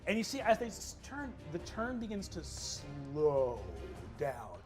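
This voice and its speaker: Unhurried man narrating a tabletop game. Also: on the high side, sing-song, soft background music. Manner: unhurried, amused narration with fillers. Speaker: game master.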